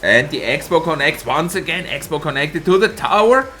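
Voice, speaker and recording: Lively, slightly hoarse man casting a game. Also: a little high, normal speed, slight background noise. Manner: lively, laughs often, greets chat viewers by name. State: a bit hoarse from a cold. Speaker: esports caster and streamer. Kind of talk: live tournament commentary with chat replies.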